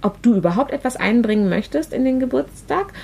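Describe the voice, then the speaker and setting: A woman's voice: fairly high pitch, fairly quick, gravelly, slight background noise. A woman, a podcast guest chatting casually and telling stories with frequent laughter. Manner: chatty, laughs often, lively storytelling. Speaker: podcast guest, actress and party planner.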